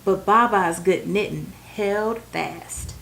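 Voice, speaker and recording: A woman reading a children's bedtime story aloud, with expressive, deliberate phrasing aimed at young listeners. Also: mid-range voice, gravelly, background noise.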